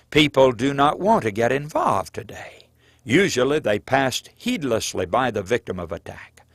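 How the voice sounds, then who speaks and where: Expressive man reading a moral sermon. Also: medium pitch, no room sound. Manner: earnest, rhetorical, deliberate phrasing. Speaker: preacher and author reading his book. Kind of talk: sermon-like scripted audiobook narration.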